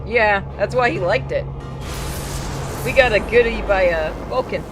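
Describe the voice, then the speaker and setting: A man's voice: high-pitched, measured pace, rough recording. A man giving casual, joking gameplay commentary as a streamer, swearing freely. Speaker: game streamer. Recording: game music behind the voice.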